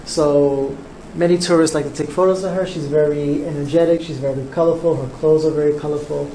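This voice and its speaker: Soft-spoken New artist in a roomy studio. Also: male voice, on the high side, steady tone. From Cuba, raised in New York. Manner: soft-spoken, unhurried, with frequent ums. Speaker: visual artist, interviewee. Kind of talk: relaxed interview answers. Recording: roomy studio, faint background noise.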